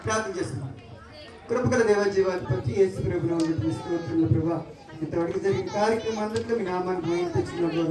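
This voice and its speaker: A woman's voice: a little high, a little hiss or hum. A woman leading a children's church programme, giving short repeated instructions and prayers to the audience. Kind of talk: live children's event hosting and prayer. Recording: echoey hall, distant mic, crowd of children.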